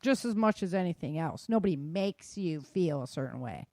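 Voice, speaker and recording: A woman's voice: deep voice, lively intonation, moderate pace, vocal fry, dark tone, no echo. A woman hosting a casual talk podcast, explaining advice in an emphatic, colloquial way and often checking in with "right?". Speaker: podcast host.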